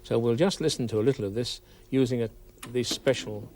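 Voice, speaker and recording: Man, audio expert, mid-pitched, soft dark tone, measured technical explanation. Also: no room sound. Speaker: audio expert being interviewed.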